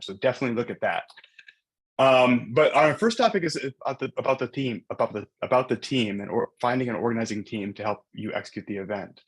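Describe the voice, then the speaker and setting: A man talking on a video call in an easygoing, enthusiastic way. His pitch sits in an ordinary middle range, and he fills his talk with casual filler words as he explains. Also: husky. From based in San Diego. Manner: enthusiastic, loose with many fillers. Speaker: iOS developer and event facilitator. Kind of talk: casual online panel talk.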